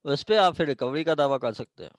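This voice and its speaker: A man's voice: fairly high pitch, lively intonation, a little fast, no room sound. A man with a Pakistani accent giving an informal law lecture with examples, explaining step by step, often checking understanding and switching into English legal terms.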